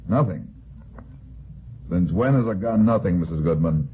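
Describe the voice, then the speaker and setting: A man's voice: deep voice, animated, normal speed. A man acting a blunt, probing private detective, in clipped 1950s radio drama style. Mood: probing, accusing. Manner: clipped, dry, insistent questioning. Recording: tinny, noisy 1950s radio recording.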